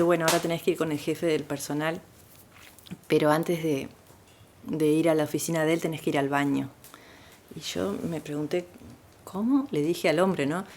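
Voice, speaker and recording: A woman's voice: sits low, slight background noise. A Uruguayan woman in her sixties with a Uruguayan Spanish accent, telling her life story in an interview, with frequent "este" fillers. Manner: frequent "este" fillers, easygoing rural warmth. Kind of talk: relaxed personal storytelling.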